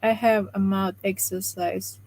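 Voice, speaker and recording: Woman, slow pace, soft low-volume voice, tired after jaw surgery, live stream chat. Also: level tone, low-quality recording. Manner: speaks softly, restarting phrases, laughing often. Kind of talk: casual live stream chat reading comments.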